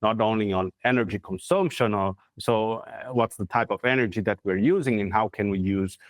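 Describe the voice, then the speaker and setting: A man in his forties from Brazil with a Brazilian Portuguese accent, speaking English in a video call interview, halting and searching for words with many fillers. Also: lowish voice, dry recording. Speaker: guest, corporate real estate head. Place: plain indoor room. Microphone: lapel mic on video call.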